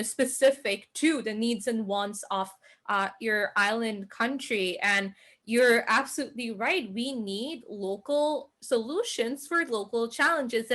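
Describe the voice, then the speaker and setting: A woman with a somewhat high voice leads a panel discussion. She thanks the speaker and restates his points in agreement. The exchange takes place on a video call. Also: a bit bright. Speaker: panel moderator.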